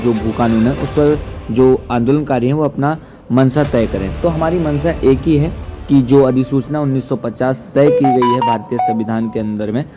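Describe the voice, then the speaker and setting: A man presents a political explainer as an activist, speaking earnestly in formal diction. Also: mid-range voice. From Uttarakhand, India. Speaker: activist commentator. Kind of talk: political explainer to camera or voice-over.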